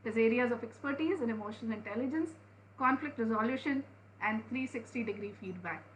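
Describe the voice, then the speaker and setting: A woman with an Indian English accent reads out a formal introduction as co-host of an online event. Her voice sits somewhat high. A faint noise runs under the video call audio. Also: fairly quick, rough recording. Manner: formal, warm praise of the speaker. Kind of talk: reading a speaker introduction.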